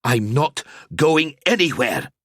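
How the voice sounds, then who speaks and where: A man's voice: mid-range voice, very animated, measured pace, fairly bright tone, no echo, studio quality, pitched above the performer's own voice. A man voicing a stubborn, brave male warrior who pleads and demands with urgent force. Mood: defiant.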